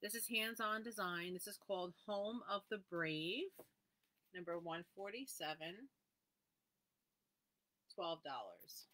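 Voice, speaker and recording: A woman with a slightly low voice hosts a live sale of needlework supplies. She reads out item numbers and prices in a friendly, chatty way and talks with viewers by name between items. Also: slow pace, long pauses, pushed and bright. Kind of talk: live sales presentation, casual chat.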